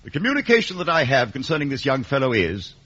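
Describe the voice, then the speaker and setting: A man playing a brusque, pompous lawyer in a 1950s radio drama, formal and officious with a husky voice. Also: mid-range voice, very animated, quick pace, a bit bright, no room sound. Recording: muffled vintage radio recording.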